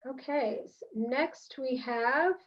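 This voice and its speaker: A woman moderating sessions at a virtual symposium, introducing speakers and taking questions in a brisk, upbeat way. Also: sits high, muffled recording.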